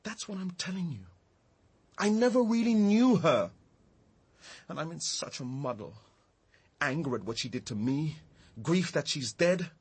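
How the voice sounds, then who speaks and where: Wounded, brooding Englishman for radio drama: husky, mid-pitched, very changeable intonation. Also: lively intonation, unhurried, long pauses. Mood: anguished, confused. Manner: brooding, emotional. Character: bitter, grieving man, muddled and wounded. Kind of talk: naturalistic radio drama dialogue.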